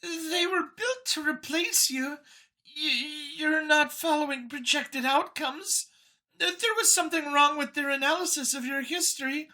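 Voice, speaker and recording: Man acting a timid mouse-woman scientist, very high pitch, nervous stammer, hesitant, questioning, bright, sci-fi audiobook creature. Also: a little slow, exaggerated voice, rough recording. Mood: nervous, explaining.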